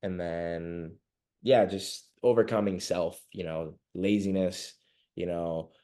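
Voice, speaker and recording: Low-voiced young man from Venezuela. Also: fairly even intonation, slow, mellow. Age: young adult. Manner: rambling, many fillers and laughs. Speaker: podcast guest. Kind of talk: casual interview chat.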